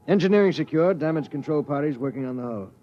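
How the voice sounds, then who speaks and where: An actor playing an obedient starship bridge officer, giving clipped military reports in 1950s radio drama style. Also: male voice, fairly high pitch, expressive, fast, close to an everyday voice. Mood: businesslike. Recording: muffled vintage 1950s radio recording.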